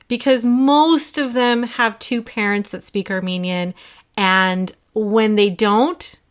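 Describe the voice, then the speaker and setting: A middle-aged woman hosting a podcast, talking candidly and gently with her daughter, apologetic and coaxing. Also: medium pitch, steady tone, slow. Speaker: podcast host and mother.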